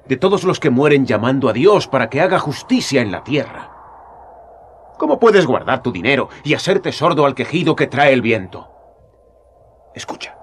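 An actor playing a young, earnest Jesus in a radio drama, talking with passionate urgency. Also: male voice, medium pitch, slight background noise. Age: young adult.

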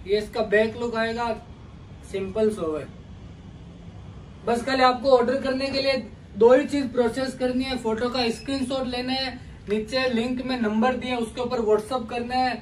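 A man in his thirties pitches jeans in a large clothing store, his voice set unusually high for a man. He talks in a lively, pushy salesman's patter, calling out to viewers like brothers. The camera sits a little away from him, leaving a faint hum of shop noise behind his voice. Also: normal speed, far from the mic, poor audio. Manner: energetic hard-sell patter, calls viewers brother. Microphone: camera mic at a distance. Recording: voice from off mic with faint room noise.

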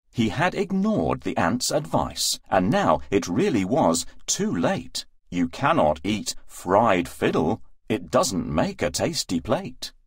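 A man's voice: on the low side, husky, dry recording, clean studio sound. A man narrating a rhyming children's story as a scripted storybook reading.

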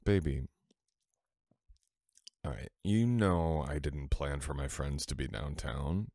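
A man playing a sweet, teasing, affectionate boyfriend in an intimate ASMR roleplay, soft-spoken and unhurried. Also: rumbling low, creaky, no echo, studio quality, full character voice. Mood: warm.

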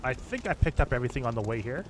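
A man's voice: mid-range voice, big pitch swings, rapid-fire, noisy background. A man in his thirties giving casual commentary while streaming a game, chatting with viewers through a headset at home. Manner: chatty, reacting to the game and to chat.

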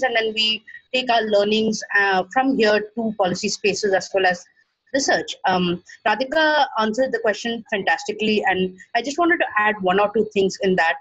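Woman panelist, husky, filler-heavy, dry and dull call audio. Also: mid-range voice, no echo. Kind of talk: webinar discussion.

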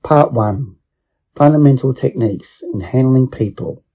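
A man reading a self-help book aloud as an audiobook, in measured phrase-by-phrase narration. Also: medium pitch, breathy edge. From Australia. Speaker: audiobook reader. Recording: dull lo-fi recording.

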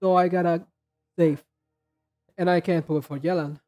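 A man chatting casually and haltingly as he hosts a reaction video, rambling in a low-key way. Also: steady tone, dark tone, faint music behind. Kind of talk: casual chat, reacting to game trailers.

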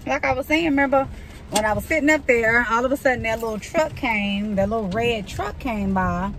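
A woman, chatting casually to the camera from a salon and then from a parked car at night, animated and talkative. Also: a little high, brisk, a little hiss or hum. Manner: chatty, animated storytelling, addresses viewers as girl. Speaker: hairstylist vlogger. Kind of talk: casual vlog chat to camera. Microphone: phone camera held close.

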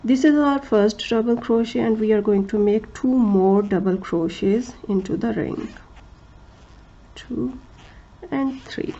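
A woman who speaks English as a second language gives a crochet tutorial, talking slowly and carefully, with many fillers and restarts, and counting stitches aloud. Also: a little high, dark tone, muffled recording. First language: not English. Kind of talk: step-by-step craft tutorial.

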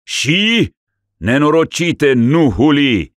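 A man's voice: a bass voice, flat delivery, a little mellow, no echo, clean studio sound. A man voicing a stern elderly bishop who rebukes and blesses in solemn, grave tones in a story reading. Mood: rebuking.

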